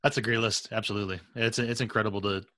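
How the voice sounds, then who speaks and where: A man hosting a podcast in casual conversation, feeling his way haltingly into each question. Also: on the low side, steady tone, pushed and bright, dry recording. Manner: halting, circles around questions. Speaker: podcast host, interviewer.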